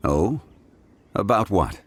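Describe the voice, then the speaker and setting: An actor playing an ancient, stoic god in human guise in a video game, speaking in a measured, solemn and formal manner. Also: male voice, on the low side, animated, slight background noise. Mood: calm. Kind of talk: video game character acting. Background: music.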